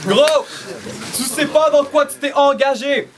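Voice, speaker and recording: Rappeur à l'accent français, voix très haute. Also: male voice, extremely high pitch, a little hiss or hum. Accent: French. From Algeria. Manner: rapid, dense rhymes, aggressive and vulgar. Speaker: battle rapper. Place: small indoor shop venue.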